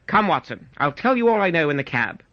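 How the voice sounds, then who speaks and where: Guy doing a pompous victorian detective, brisk and precise, pitch swoops all over, radio story, bit dull audio. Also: very animated, fairly bright tone, no room sound. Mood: self-satisfied. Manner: pompous, precise, rapid deductions. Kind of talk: audiobook radio-style pastiche.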